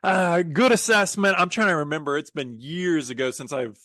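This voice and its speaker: A man's voice: on the high side, normal speed, pushed and bright, no room sound, clean studio sound. A man hosting a conversational business interview, agreeable and informal as he thinks his questions through aloud. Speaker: show host, interviewer.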